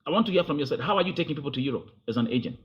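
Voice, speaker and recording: A man's voice: medium pitch, lively intonation, racing, highs cut off. A man with a Kenyan accent hosting a confrontational interview, insistent and often repeating phrases as he presses his point. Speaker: host.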